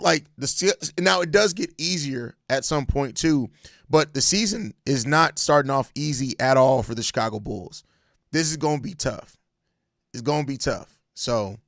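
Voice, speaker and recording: An American man with a hoarse, raspy voice worn out from overuse, chatting casually and emphatically in slang as a solo sports podcast host. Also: medium pitch, a bit bright, no room sound, clean studio sound. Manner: slangy, emphatic, repeats phrases. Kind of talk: casual live-stream sports chat answering viewer comments.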